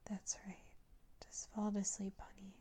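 A woman playing a domineering, cooing character in an intimate adult roleplay, mostly whispering in a breathy, coaxing, condescendingly tender manner. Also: mid-range voice, monotone, measured pace, dark tone. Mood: soothing, possessive. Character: domineering, cooing woman who soothes and commands an adult man.

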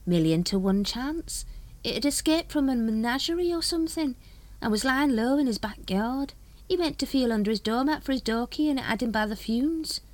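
A woman's voice: on the high side, expressive, moderate pace, darkish tone, no room sound, pitched above the performer's own voice, close to an everyday voice. A woman voicing a gossipy, eager male brother of a secret society in a storybook. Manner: gossipy, thoughtful.

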